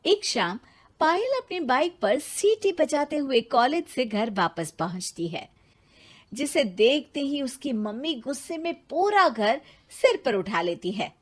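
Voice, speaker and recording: A woman narrates a scripted story. Her voice is fairly high and animated in its intonation, at a slow storytelling pace. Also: breathy edge.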